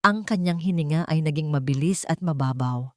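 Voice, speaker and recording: A woman's voice: sits low, moderate pace, darkish tone, no echo, clean studio sound. A woman narrating a scripted dramatic story as an audio drama narrator.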